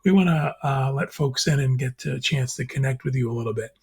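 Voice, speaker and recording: A man hosting a casual video panel chat, introducing guests and asking questions in a friendly, steady way. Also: medium pitch, fairly quick, creaky, slightly rough. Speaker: podcast host.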